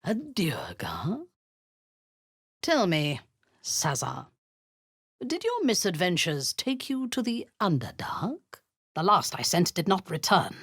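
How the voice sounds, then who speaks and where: A husky, menacing drow commander. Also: female voice, low-pitched, dry recording, studio quality, heavily acted. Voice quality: husky, often whispering. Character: ruthless drow woman commander, zealous cult raider. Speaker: game character. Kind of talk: video game voice lines.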